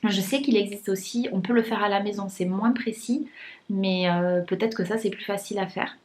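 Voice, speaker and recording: A woman's voice: medium pitch, fairly even intonation, normal speed. A young woman talking casually to her vlog viewers, with many fillers and restarts and a blocked, congested nose. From France. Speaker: vlogger. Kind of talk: casual vlog chat to camera.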